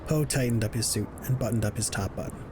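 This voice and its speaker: A man's voice: medium pitch, quick pace, noisy background. A man reading scripted narration for an audio drama.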